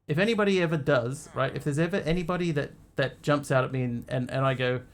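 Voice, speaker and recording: Man, grew up in Australia, live-stream chat, hesitant, rambling, ordinary mid-pitched voice. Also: fast talker. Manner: rambling, hesitant with many ums and restarts.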